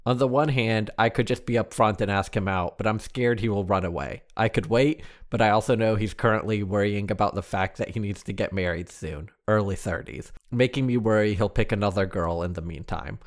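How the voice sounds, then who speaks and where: A man's voice: lowish voice, brisk, hardly any pauses. A man co-hosting a podcast, reading a listener's letter aloud with a plain, dry delivery.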